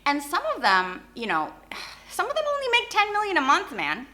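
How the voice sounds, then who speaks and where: A woman hosting a vlog, giving a casual, opinionated rant in an animated, informal way and mixing Indonesian phrases into her English. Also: high voice, normal speed. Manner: animated, informal, acts out short imagined exchanges. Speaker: YouTube host.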